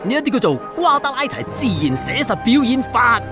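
An actress playing a pretentious, bossy film director in a radio drama. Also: female voice, fairly high pitch, very animated, a little fast, music in the background. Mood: scornful.

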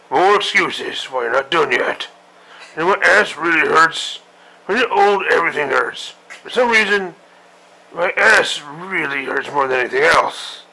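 Game parody: man playing a cranky old admiral, gravelly, bright and cutting, foul-mouthed griping. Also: a little high, exaggerated voice, low-quality recording. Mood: irritated. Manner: profane, griping rant. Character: grumpy old male admiral commander, crude and impatient. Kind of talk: comedic parody monologue.

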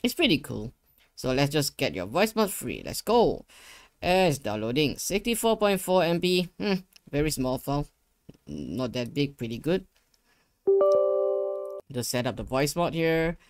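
A young man giving a casual software tutorial, talking himself through each step in an informal, rambling way. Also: on the high side, sing-song, unhurried, pauses often, no echo, soft background music. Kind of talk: casual screen-share tutorial. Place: indoor living room gaming setup. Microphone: close desktop USB microphone.

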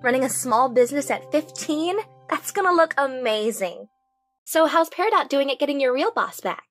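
A woman voicing a brisk, businesslike fifteen-year-old girl boss in a fan cartoon, articulate and officious. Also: sits high, expressive, soft background music.

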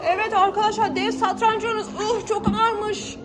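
A woman's voice: very high voice, level tone, moderate pace, crowd noise, noisy background. A woman hosting a children's play vlog as a mother, coaxing the kids and talking to viewers with exclamations and many questions. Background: music.

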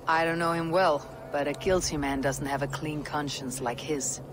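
An actress playing a stoic, blunt Viking warrior woman in a video game, measured and resolute. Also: sits low, steady tone, background noise, natural voice. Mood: matter-of-fact. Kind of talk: video game cutscene acting.

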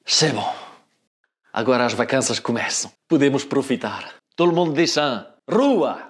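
A man playing his Portuguese immigrant father, blunt and hurried, with a Portuguese accent. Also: mid-range voice, animated, lightly acted. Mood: bossy.

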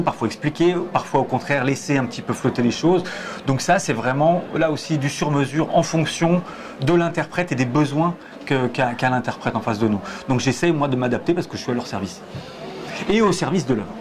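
A man in his forties explains his staging choices in a hesitant, searching way, often restarting his words. He speaks on a lapel mic in a theatre auditorium, with a crowd chattering clearly behind him. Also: medium pitch. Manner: hesitant, many repeated starts, gestures while talking. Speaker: stage director and theatre head. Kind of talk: thoughtful interview answers. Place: theatre auditorium, in a box seat.

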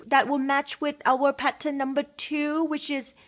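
A woman teaching a keyboard and singing lesson, giving slow, patient instructions and singing solfege syllables and song phrases. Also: sits high, flat delivery. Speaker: music teacher. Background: keyboard playing.

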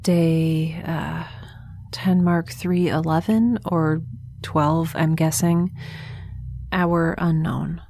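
Actress as a stranded space castaway, young woman, weary, wry, hesitant, low voice, audio drama log. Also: steady tone, drawn-out words, a little mellow, no room sound, full character voice. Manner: wry, hesitant, with dry asides. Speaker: narrator keeping a log.

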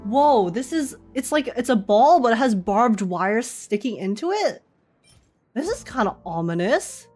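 A woman giving casual reaction commentary as she plays a video game, chatty and thinking out loud. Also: on the high side, sing-song, unhurried. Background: game music.

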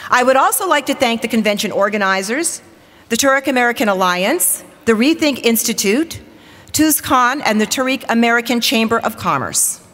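A woman's voice: a little high, animated, moderate pace, fairly bright tone. Upbeat woman emcee in a reverberant hall. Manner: upbeat, rallying the audience. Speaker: emcee, TV weather reporter. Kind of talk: formal welcome speech at a reception. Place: large hall, at a lectern on stage. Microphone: lectern microphone.

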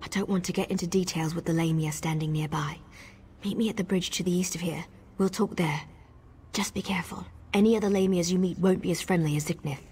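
An actress playing a cautious, determined female wood elf scout in video game dialogue, speaking in a measured, earnest way. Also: lowish voice, steady tone, dark tone, natural voice. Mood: guarded. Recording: game dialogue over clear ambient noise.

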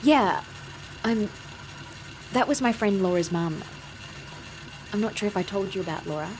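An actress playing a withdrawn, guarded young woman, speaking flatly and reticently in naturalistic audio drama dialogue. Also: medium pitch, normal speed, slight background noise, close to an everyday voice. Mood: numb, subdued.

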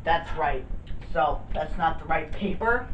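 A man's voice: a little high, animated, unhurried, a bit bright, highs cut off, a little hiss or hum. A boy putting on a goofy boastful celebrity chef. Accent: put-on fake accent. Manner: goofy, giggling at own jokes. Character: silly pompous male celebrity chef, boastful. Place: bedroom with gaming desk. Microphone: far camera. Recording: echoey room from far off.

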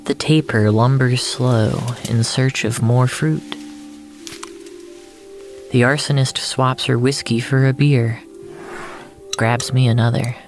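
Very slow storyteller over music. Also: mid-range voice, fairly even intonation. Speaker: narrator. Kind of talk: slow literary audio fiction narration, first person.

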